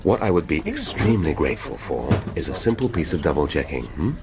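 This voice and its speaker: An actor playing a gruff, wry middle-aged detective with a British accent in a radio drama. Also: male voice, extremely low pitch, big pitch swings, fast.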